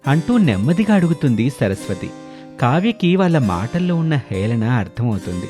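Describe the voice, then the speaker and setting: A man's voice: medium pitch, big pitch swings, normal speed, warm tone, faint music behind. A man narrating a scripted story in the manner of a storyteller.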